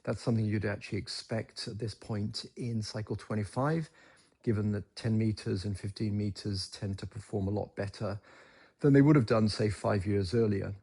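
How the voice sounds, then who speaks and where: A man giving an unscripted, matter-of-fact recap as a ham radio operator, in measured phrases. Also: lowish voice, fairly even intonation, vocal fry, dark tone, breathy edge. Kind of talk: unscripted explanatory recap to camera.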